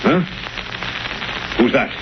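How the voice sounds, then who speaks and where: An actor playing a gruff, self-satisfied police commissioner in a 1930s radio drama. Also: male voice, very slow. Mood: gruff, triumphant. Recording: dull, very noisy 1930s radio recording.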